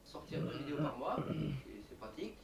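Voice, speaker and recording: A man's voice: medium pitch, steady tone, brisk, vocal fry. A young man from an old video, hesitant and rambling as he explains things. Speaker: YouTuber in his own old video. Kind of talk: casual vlog talk. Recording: echoey room, distant mic, faint hiss.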